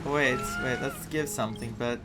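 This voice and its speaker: A male game streamer from Greece, speaking English as a second language with a Greek accent. His voice is average in pitch and his commentary is casual and off the cuff. Game music is clearly audible behind him. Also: steady tone, moderate pace, low-quality recording. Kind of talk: casual playthrough commentary, reading dialogue aloud.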